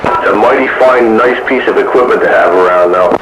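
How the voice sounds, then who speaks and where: A man's voice: fairly low pitch, a little fast, dull audio. Man chatting over a noisy, echoey radio. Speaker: amateur radio hobbyist in a group chat. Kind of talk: casual chat over CB radio. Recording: noisy, roomy radio transmission.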